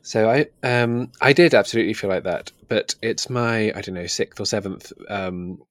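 A man's voice: on the low side, normal speed, no room sound. A man co-hosting a casual book discussion, thinking aloud hesitantly and often rephrasing himself. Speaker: book podcast host.

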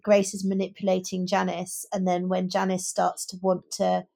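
Woman discussing books, with a creaky edge. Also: fairly low pitch, darkish tone. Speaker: podcast co-host. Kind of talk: conversational book discussion.

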